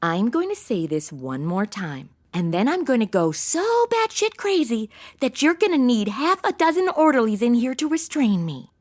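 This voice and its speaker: A brash young American in an audio comedy, high-pitched and extremely animated. She is sassy and dramatic, full of modern slang and ditzy bravado. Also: normal speed. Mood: threatening.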